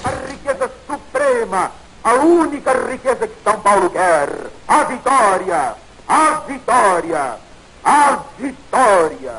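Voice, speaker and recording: Old radio orator: man, high, slow, declamatory, buried in heavy noise. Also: very animated. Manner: declamatory, drawn-out delivery. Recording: very noisy old radio archive recording.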